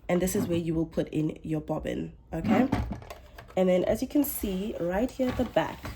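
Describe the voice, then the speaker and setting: A young woman talks through a casual tutorial in a lowish, mellow voice. She sounds a little under the weather and often stops to correct herself. Faint noise and clicks sit behind her. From South Africa. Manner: chatty, self-correcting explainer. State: slightly unwell, coming down with flu. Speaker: sewing channel host.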